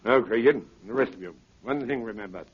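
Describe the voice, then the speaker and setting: An actor playing a cold, commanding criminal mastermind in 1930s radio style, deliberate and menacingly polite. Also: male voice, medium pitch, close to an everyday voice. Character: cold commanding male criminal mastermind, giving orders to henchmen. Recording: noisy, muffled 1930s radio recording with clicks.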